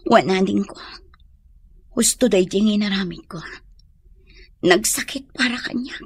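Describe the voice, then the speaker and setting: A woman's voice: medium pitch, a little slow, breathy edge. A woman with an Ilocano accent playing a lovesick, heartbroken young mother, plaintive and emotional, in a radio drama. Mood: sorrowful.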